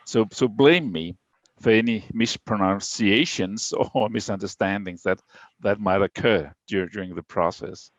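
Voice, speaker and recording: A man from Denmark, speaking English with a Danish accent, presenting a prepared webinar talk in a measured, slightly halting way. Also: lowish voice, no room sound, dull audio.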